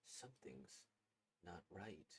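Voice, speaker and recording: A man performs an anxious student haunted by a ghost, telling a horror manga tale as inner monologue. The voice sits slightly low and stays hushed and brooding, with a suspenseful edge. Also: lively intonation, slow, slight background noise, rough recording. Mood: brooding, uneasy. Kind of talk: dramatic horror manga reading.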